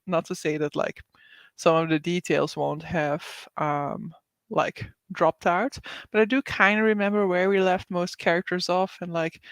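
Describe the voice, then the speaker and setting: A woman's voice: medium pitch, dry recording. Chatty woman livestreaming about books. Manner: chatty, laughs often, many likes and ums. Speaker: booktuber hosting a live reading sprint. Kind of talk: casual livestream chat, reading viewer comments aloud.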